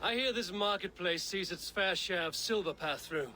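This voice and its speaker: A man's voice: high-pitched, moderate pace, heavy background noise, natural voice. A man playing a bold warrior posing as a buyer in a video game, confident and blunt. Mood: businesslike. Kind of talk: video game character acting.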